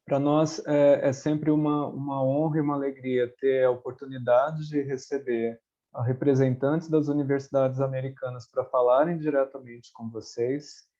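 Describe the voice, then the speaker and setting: A man with a Brazilian accent opens the session as host, friendly and a little halting as he restarts phrases. His voice is mellow and dark, at a middle pitch. The audio comes through dull and lo-fi, as on a video call. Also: flat delivery. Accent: Brazilian Portuguese. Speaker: webinar host, education advisor. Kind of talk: webinar introduction.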